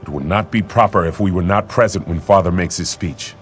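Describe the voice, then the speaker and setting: An actor playing an eager, loyal young warrior prince in a video game, speaking in formal, earnest phrases. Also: male voice, deep voice, a little fast, soft background music, natural voice. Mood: earnest, urging. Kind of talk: video game character acting.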